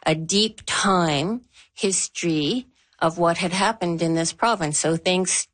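A woman from Newfoundland who works as an archaeologist, speaking slowly and thoughtfully in an interview. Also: lowish voice. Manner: slow, measured, thoughtful. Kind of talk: interview talk.

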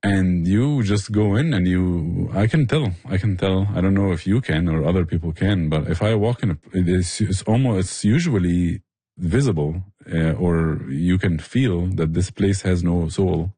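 A man's voice: deep voice, moderate pace, dark tone, husky, no room sound. A man explaining things with passion in a conversational interview. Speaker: barbecue restaurant owner and chef, interview guest.

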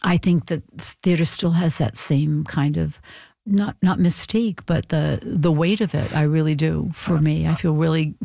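A woman's voice: low-pitched, steady tone, normal speed, vocal fry, mellow, no room sound, studio quality. A middle-aged woman from Maine, speaking as an interview guest, thoughtful and hesitant, with many fillers and restarts. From Portland, Maine, USA. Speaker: interview guest, stage actor. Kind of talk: reflective casual conversation.

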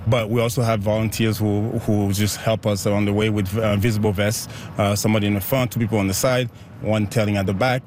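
A man's voice: fairly low pitch, little pitch movement, brisk, darkish tone, a little hiss or hum. A man from Haiti who organizes group bike rides, talking in a casual, upbeat interview outdoors and laughing often.

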